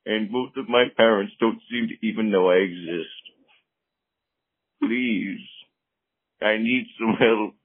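A man's voice: lowish voice, takes it slowly, pauses often, dry recording. A man phoning in a confession, stammering and pleading for help. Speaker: caller. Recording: dry phone line.

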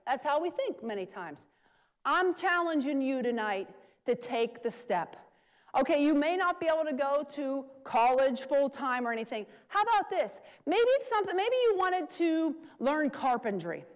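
A woman's voice: sits high, sing-song, moderate pace. A woman preacher giving a sermon, urging her listeners and repeating key phrases for emphasis. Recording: long hall reverb.

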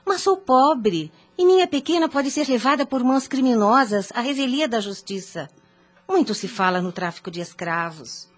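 Anguished, husky-voiced devout mother. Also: on the high side, expressive, moderate pace, soft background music. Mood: pleading, indignant. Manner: formal, pleading, emphatic. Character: anguished, devout mother pleading to protect her young daughter. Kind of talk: radio soap opera acting.

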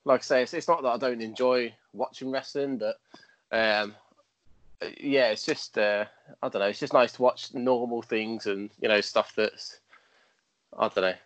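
A man chats easily as a wrestling guest, his voice mid-pitched and ordinary. He stammers on the starts of his sentences. The sound is close and dry. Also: fairly even intonation, fairly bright tone, dry recording, rough recording. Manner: stammering restarts, quick laughs. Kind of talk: casual interview chat.

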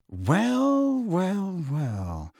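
A cold, haughty middle-aged wizard, voiced by a male narrator. He drawls with icy politeness, his pitch swinging wide before each phrase sinks into a quiet sneer. The storybook reading is dry and close. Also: huge pitch swings, gravelly, no room sound, exaggerated voice. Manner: slow, cool drawl with soft trailing endings.

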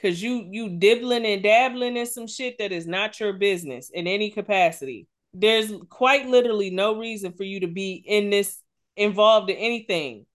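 A woman's voice: mid-range voice, normal speed. A woman co-hosting a casual gossip podcast, blunt and emphatic, with plenty of swearing. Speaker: podcast co-host.

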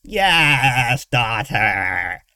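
A man voicing a crazed villain mage in a fantasy audiobook, shrill and whining, with grandiose archaic pronouncements. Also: sing-song, very slow, sharp tone, dry recording, clean studio sound, heavily acted.

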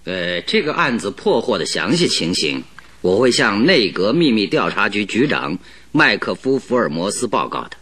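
An actor playing a composed, politely teasing detective in a radio play. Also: male voice, on the low side, very animated, normal speed, pushed and bright, no echo, full character voice. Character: calm, wry male detective, middle-aged. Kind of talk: 1970s Chinese radio drama acting.

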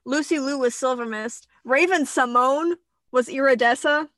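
A woman's voice: high-pitched, moderate pace, no room sound, studio quality. A young woman chatting casually with friends, eagerly sharing trivia. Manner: animated, enthusiastic trivia sharing. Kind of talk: casual chat.

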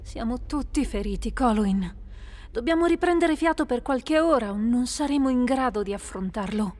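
An actress playing a steady female adventurer in an Italian audio drama, speaking calm and measured. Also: on the high side.

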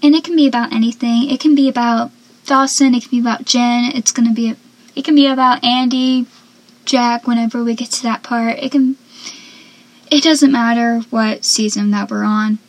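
A seventeen-year-old girl with a soft, young-sounding voice, chatting casually as a podcast host and dropping into whispered asides. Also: fairly high pitch, steady tone, moderate pace. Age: teens. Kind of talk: casual solo podcast chat.

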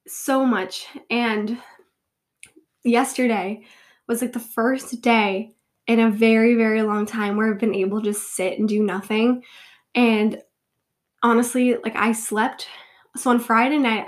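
A young woman in her twenties hosts a casual solo chat while fighting a cold. Her slightly high voice sounds congested and nasal, with sniffles between her words. She rambles, giggles and pokes fun at herself. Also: steady tone, a little slow. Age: 20s. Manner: rambling, self-deprecating, giggly. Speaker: podcast host.

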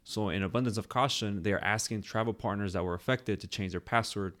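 A man co-hosting a casual tech news podcast, explaining news items in a steady way and laughing easily with his co-host. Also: lowish voice, quick pace.

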